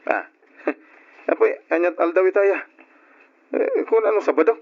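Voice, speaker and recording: A man playing an irresponsible, hard-drinking husband and father in an Ilocano radio drama, full of sheepish drawn-out excuses and interjections. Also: high-pitched, creaky, full character voice. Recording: muffled lo-fi old radio recording.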